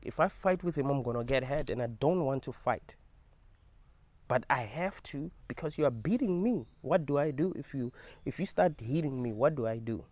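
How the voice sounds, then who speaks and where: A man's voice: mid-range voice, no room sound. Emphatic man telling a story. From South Africa. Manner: animated, emphatic, swears freely. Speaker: vlogger. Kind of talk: casual storytelling to camera.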